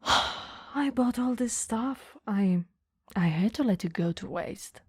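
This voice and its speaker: Woman as caring, flirty female boss for ASMR roleplay: very breathy, unhurried, soft-spoken, close on the mic. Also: medium pitch, lively intonation, dry recording, exaggerated voice. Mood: relieved, gentle. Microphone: close microphone, dry.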